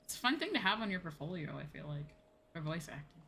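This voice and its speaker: Young girl streamer chatting over a game, low-ish bright voice, music behind her. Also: big pitch swings, fast talker, vocal fry, a little hiss or hum. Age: young adult. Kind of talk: casual livestream chat while gaming.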